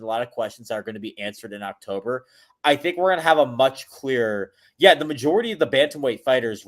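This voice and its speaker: A man hosting a casual podcast chat. He rambles, restarts his sentences often and uses many fillers. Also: medium pitch. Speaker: podcast host.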